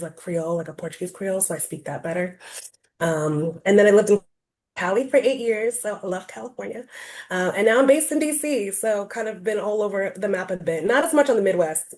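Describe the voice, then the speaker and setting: A woman's voice: mid-range voice, darkish tone. Chatty woman presenting over a call. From Rhode Island, US. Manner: chatty, many fillers like um and like. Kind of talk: casual online presentation. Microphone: video call.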